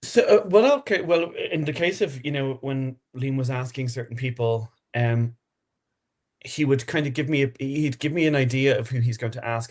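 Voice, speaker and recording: A man's voice: mid-range voice, a little mellow. A man talking casually as a podcast guest about his writing, enthusiastic and rambling, often restarting his sentences. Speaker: podcast guest, horror fiction writer. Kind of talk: casual interview chat.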